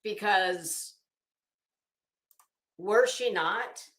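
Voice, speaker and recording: A middle-aged woman giving casual live commentary in a halting, deliberate, emphatic way. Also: mid-range voice, a bit bright. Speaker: YouTube commentator and host. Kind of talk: casual live commentary with document read-outs. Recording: echoey room.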